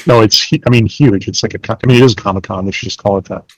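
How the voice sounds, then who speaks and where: A man with a fairly low voice talks as a podcast co-host. The sound is dry, with no room around it, and his delivery is informal and conversational. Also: racing, husky, no room sound, low-quality recording. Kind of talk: casual chat.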